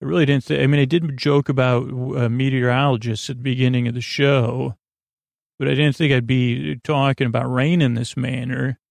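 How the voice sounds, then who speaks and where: Creaky, meandering man's voice. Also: mid-range voice, fairly even intonation, dry recording. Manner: meandering, halting, often trailing off and repeating words; gently goofy, whimsical. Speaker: podcast host. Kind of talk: rambling bedtime monologue to help listeners sleep.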